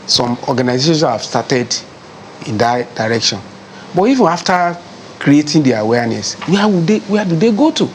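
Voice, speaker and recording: Man, panel guest, higher pitch, earnest, stumbling starts, faint background noise. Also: big pitch swings, normal speed, rough recording. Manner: earnest, repeats words as he starts. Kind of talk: studio discussion.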